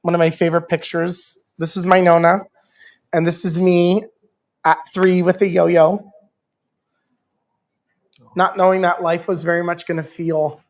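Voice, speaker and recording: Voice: medium pitch, little pitch movement, measured pace, pauses often. Panel moderator, earnest and affirming, addresses the room and the home audience. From Canada. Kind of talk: heartfelt panel talk.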